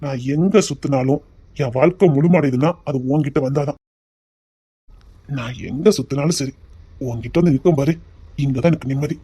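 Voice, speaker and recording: A woman's voice: low-pitched, brisk, breathy edge. A woman playing a teasing young lover with a lilt. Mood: tender. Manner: teasing, then softly tender. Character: young man courting his sweetheart, teasing. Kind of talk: amateur romantic drama.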